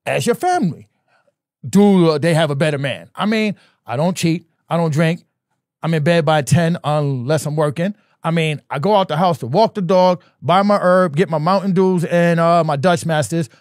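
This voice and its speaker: An older man in his sixties, talking earnestly in casual podcast chat. His voice sits a bit high, and he repeats words as he works toward his point. The studio sound is dry and close. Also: moderate pace, a little mellow, no echo. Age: 60s. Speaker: podcast guest.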